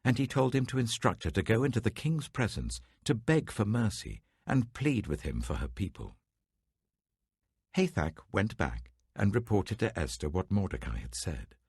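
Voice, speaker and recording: A man reading scripture aloud in a measured, dramatic manner, close to the mic. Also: lowish voice, sing-song, darkish tone, slightly rough, no echo. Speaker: Bible reader.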